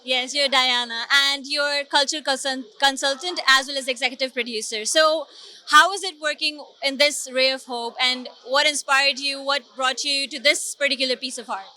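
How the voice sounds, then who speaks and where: A woman's voice: sits high, steady tone, normal speed, sharp tone. A woman reporter interviewing guests at a film premiere, effusive and enthusiastic. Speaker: TV event reporter. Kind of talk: red-carpet interview.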